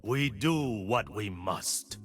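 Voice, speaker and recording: A man playing a disciplined, resolute blind warrior monk for a video game, speaking in solemn, measured aphorisms. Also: lowish voice, expressive, fairly bright tone, faint music behind. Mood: disciplined, determined.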